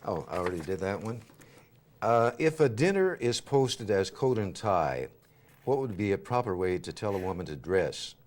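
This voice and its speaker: A man's voice: fairly low pitch, big pitch swings, normal speed, a little mellow. A man hosting a cable talk show, chatting with a guest in a studio, joking and reading out listener questions. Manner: joking, teasing, reads listener questions aloud. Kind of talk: casual studio chat with a guest.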